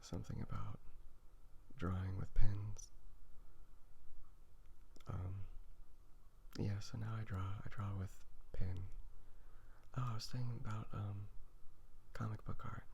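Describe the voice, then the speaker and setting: A man talking softly in ASMR style, drifting into whispers, rambling casually and haltingly with a self-deprecating manner as he sketches. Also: on the low side, little pitch movement, slight background noise. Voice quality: hushed, often breaking into whisper, creaky. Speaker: host of a drawing ASMR video. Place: hotel room. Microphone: phone.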